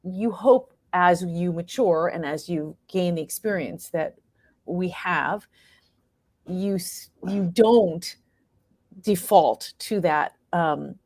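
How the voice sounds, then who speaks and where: A woman's voice: on the low side, takes it slowly, darkish tone, no room sound. A middle-aged American woman talking reflectively as an interview guest, animatedly acting out her own thoughts as she tells stories. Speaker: guest, playwright and actor.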